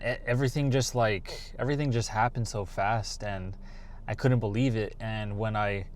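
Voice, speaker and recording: A young man talking casually to camera as a vlogger, rambling with lots of fillers and restarts. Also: fairly low pitch.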